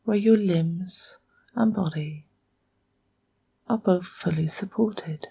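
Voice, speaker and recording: A woman with a soft, breathy, whispery voice narrates a guided meditation in a hushed, measured manner, leaving long pauses between short phrases. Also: on the low side.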